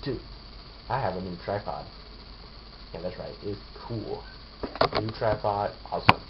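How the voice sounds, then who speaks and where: Sleepy young guy lying in bed, lowish voice, muffled webcam sound with hiss, keeps trailing off. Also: unhurried. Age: young adult. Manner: drowsy, mumbling, trailing off. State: tired, yawning. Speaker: vlogger. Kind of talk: casual vlog with rapped verses. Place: small bedroom, lying down. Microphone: webcam held close overhead. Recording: dull lo-fi webcam audio with noise.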